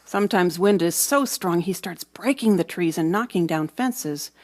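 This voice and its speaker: A woman's voice: on the low side, lively intonation, moderate pace. Woman reading a children's story expressively. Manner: storytelling with dramatic emphasis and hushed moments. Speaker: storybook reader. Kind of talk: expressive children's read-aloud narration.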